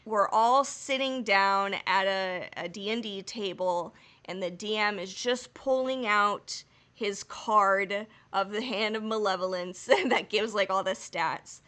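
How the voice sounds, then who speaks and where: A woman's voice: medium pitch, slow, few pauses, bright, forceful tone. A woman co-hosting a podcast, chatting casually with jokes and laughter, then reading a plot summary. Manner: laughing, joking, rambling. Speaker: podcast co-host. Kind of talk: casual chat and episode summary.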